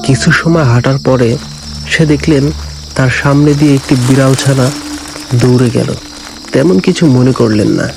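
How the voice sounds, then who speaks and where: A man's voice: mid-range voice, normal speed, gravelly, long pauses, rough recording. A man narrating a scripted ghost story as a storyteller.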